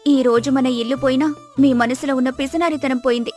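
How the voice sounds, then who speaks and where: Woman as wise, devoted storybook wife; high register, gently admonishing, earnest, light music bed. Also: fairly even intonation, brisk, natural voice. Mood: relieved, devoted. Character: patient, devoted, wise village wife of a miser. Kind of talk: Telugu moral story character acting.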